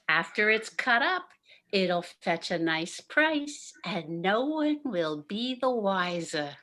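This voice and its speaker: A woman's voice: medium pitch, very animated, drawn-out words, bright, forceful tone, exaggerated voice. A woman playing a scheming, gloating nightclub boss in a campy noir radio play. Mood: sly, gloating. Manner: theatrical, gloating. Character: scheming brassy female nightclub boss Sal, noir gang leader.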